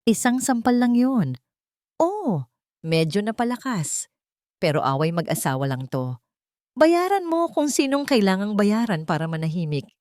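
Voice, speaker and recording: A woman's voice: mid-range voice, sing-song, unhurried, darkish tone, dry recording, clean studio sound, pitched above the performer's own voice. A woman with a Filipino accent voicing an arrogant, short-tempered rich businessman in an audiobook, scornful and emphatic.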